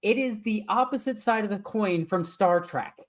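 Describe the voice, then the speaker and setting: A woman from Iceland with an Icelandic accent, co-hosting a casual podcast as an enthusiastic, gushing fan who is quick to laugh. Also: mid-range voice. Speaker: podcast co-host. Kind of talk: casual chat.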